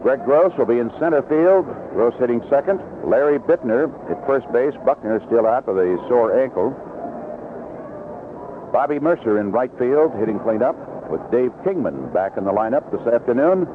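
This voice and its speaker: A thin, narrow-band vintage radio recording with clear noise carries an American man announcing baseball. He talks at a medium pitch in a conversational 1970s play-by-play style. His delivery is packed with figures and records. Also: expressive, normal speed. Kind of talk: 1970s radio sports commentary. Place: outdoor ballpark broadcast.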